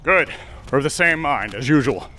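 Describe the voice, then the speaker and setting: A man's voice: mid-range voice, expressive, normal speed, bright, forceful tone, slight background noise. A man playing a commanding senior lawyer in an audio drama, with clipped, terse delivery. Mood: brusque.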